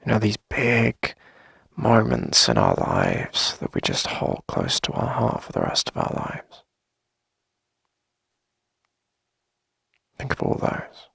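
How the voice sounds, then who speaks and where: Casting: male actor as soft-spoken, coaxing boyfriend for intimate ASMR, very breathy and husky, animated pitch, close dry mic. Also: no echo, heavily acted. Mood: soft, wistful. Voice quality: husky, breathy, creaky low murmur. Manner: gentle, coaxing, lingering pauses. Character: tender, reassuring boyfriend longing to start a family. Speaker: ASMR audio roleplay performer. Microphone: close dry microphone.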